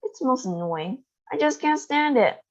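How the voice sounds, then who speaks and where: A woman's voice: high-pitched, lively intonation, measured pace, voice pushed up. A woman voicing an eccentric, weary candy maker in a read-aloud storybook, sighing and rueful. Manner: sighing, rueful, matter-of-fact. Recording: dull lo-fi recording.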